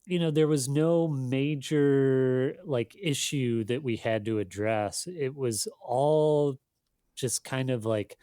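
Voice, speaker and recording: A man speaking casually as an editor being interviewed, in a thoughtful, halting, self-deprecating way. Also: mid-range voice, dark tone, smooth, dry recording, clean studio sound. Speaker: magazine editor, interview guest.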